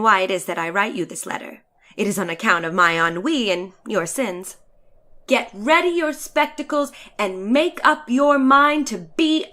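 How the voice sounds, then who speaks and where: A woman's voice: fairly high pitch, sharp tone. Woman acting a theatrical, haughty, bored lady traveler. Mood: bored, mock-spiteful. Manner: theatrical, drawn-out, exasperated sighing delivery. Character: bored, haughty, chatty woman letter-writer aboard a futuristic balloon. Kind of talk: dramatic reading of a short story.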